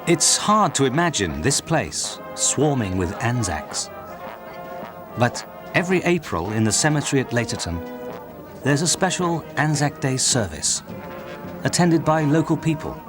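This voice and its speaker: A male documentary narrator delivers a scripted piece with lively, varied intonation. His voice sits at a middle pitch, with music clearly playing behind him. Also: measured pace.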